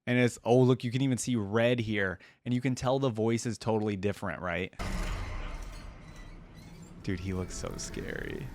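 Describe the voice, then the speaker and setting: A man giving casual, informal reaction commentary, thinking out loud and easily excited. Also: medium pitch, slight background noise. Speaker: reactor and channel host. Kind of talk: reads story aloud dramatically.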